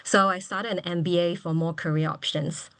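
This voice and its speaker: Woman, 30s, from Singapore, lowish voice, candid and upbeat, video call webinar. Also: steady tone, normal speed, a bit bright, smooth, no room sound. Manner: frank, upbeat, laughs easily. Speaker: MBA graduate guest.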